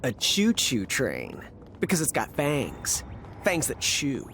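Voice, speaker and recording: An actor voicing a goofy, excitable teenage brother who likes to joke, in an animated game cutscene. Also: mid-range voice, takes it slowly, slight background noise, heavily acted. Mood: teasing.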